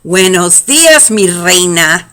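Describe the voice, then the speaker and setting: A woman's voice: lowish voice, animated, takes it slowly, sharp tone, full character voice. A woman voicing a worried father who speaks encouragingly to his family. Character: worried father trying to lift spirits.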